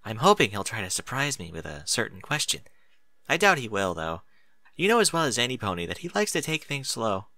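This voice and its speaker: A man's voice: lowish voice, wildly swooping pitch, fairly quick, pushed and bright, no echo, heavily acted. A man voicing a chatty, coy adult mare who teases playfully in a story reading. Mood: coy, hopeful.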